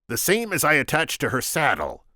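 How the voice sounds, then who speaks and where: A man voicing a conceited, scheming male kidnapper from Sicily, in a shrill, mocking, smug voice, read aloud from a storybook. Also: huge pitch swings, normal speed, pushed and bright, no echo, clean studio sound, exaggerated voice. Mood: scornful.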